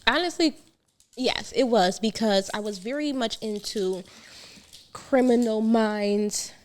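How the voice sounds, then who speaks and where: Relaxed, candid woman guest in her thirties. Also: on the high side, slow pace. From Trinidad. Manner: relaxed and candid, laughs easily, reflective. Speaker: guest, business founder. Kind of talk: casual podcast interview.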